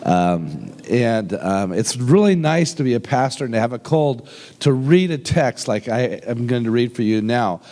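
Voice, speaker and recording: Older pastor with a cold, echoing hall. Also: male voice, mid-range voice, expressive, darkish tone. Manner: folksy, humorous, slows down when reading scripture. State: has a cold, deep rough voice. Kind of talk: sermon. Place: church sanctuary with long reverb. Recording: reverberant hall sound.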